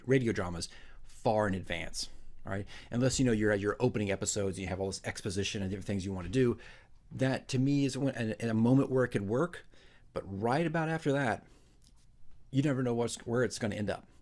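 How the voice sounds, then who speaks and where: A man's voice: on the low side, darkish tone. A man working as a dungeon master, presenting his fantasy radio drama. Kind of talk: dramatic narration and casual commentary.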